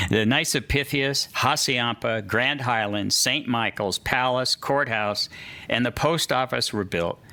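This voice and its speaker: Bright-voiced man reading a prepared statement. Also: medium pitch. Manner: reads a prepared statement, listing points with some fillers. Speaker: hotel developer presenting to a city commission. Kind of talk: public meeting presentation. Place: city meeting room.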